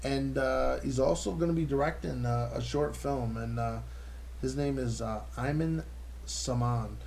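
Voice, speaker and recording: A man's voice: medium pitch, a little slow, gravelly, darkish tone, a little hiss or hum. A man hosting a casual comedy podcast, swearing freely and joking with his guests. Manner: loose, profane, laughing banter. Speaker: podcast host.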